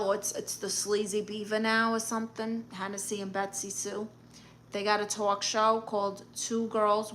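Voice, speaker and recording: A woman's voice: medium pitch, bright, forceful tone, slight background noise, rough recording. A woman with a New York accent hosting a live stream, reading viewer comments aloud in a brash, sassy, joking way. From Brooklyn. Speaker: livestream host.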